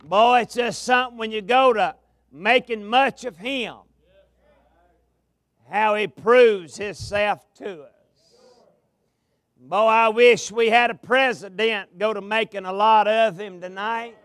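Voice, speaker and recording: Slow folksy Southern preacher, high voice. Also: male voice, fairly bright tone, no room sound. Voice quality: gravelly. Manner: folksy, drawn-out preaching cadence. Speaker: pastor, guest preacher. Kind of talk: revival sermon. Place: large tabernacle revival meeting. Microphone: amplified mic at a pulpit.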